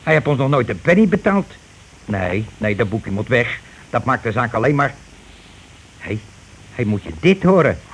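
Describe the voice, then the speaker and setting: An actor playing a blunt, self-righteous working-class husband in a radio play, grumbling, bossy and rather pompous. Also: male voice, mid-range voice, big pitch swings, normal speed, pauses often, husky. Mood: indignant. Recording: noisy old radio play recording.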